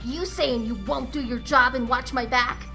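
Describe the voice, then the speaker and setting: A woman's voice: high voice, steady tone, normal speed, creaky, bright, forceful tone, faint music behind, heavily acted. A woman voicing a hot-headed young female tenrec fighter in a comic dub, cocky, snappy and sarcastic. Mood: aggressive, taunting.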